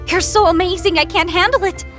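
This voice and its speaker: A woman's voice: very high voice, steady tone, brisk, a bit bright, soft background music. A woman voicing a large, eager, polite and gushing goddess sidekick in an audio drama. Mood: gushing, excited. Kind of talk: audio drama character acting.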